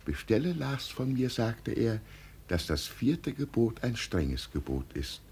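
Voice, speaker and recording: A man's voice: medium pitch, very animated, unhurried, darkish tone, a little hiss or hum. An actor playing a deluded old peasant who believes he is an emperor, solemn and grandly proclaiming, in 1950s German radio drama style. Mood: solemn, insistent.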